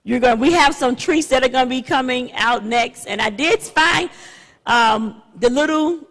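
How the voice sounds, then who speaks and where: A middle-aged woman pastor leading a church service on a handheld mic, chatty and loose, halting with fillers and restarts. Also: high voice, a bit bright. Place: church sanctuary, indoors. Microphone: handheld microphone. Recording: long church hall reverb.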